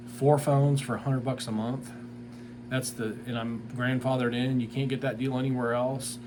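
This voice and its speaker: Man, medium pitch, casual product reviewer, plain-spoken, unscripted, informal wording, noticeable background ambience. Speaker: tree service business owner reviewing a product. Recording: clear background ambient noise.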